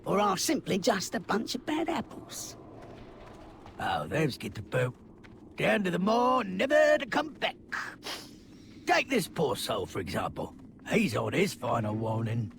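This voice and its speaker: Creaky, high, swooping cheeky servant creature. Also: male voice, wildly swooping pitch, unhurried, long pauses, background noise, full character voice. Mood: wry, ominous. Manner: theatrical, cheeky, folksy tour-guide patter. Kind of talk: video game cutscene acting. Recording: music behind the voice.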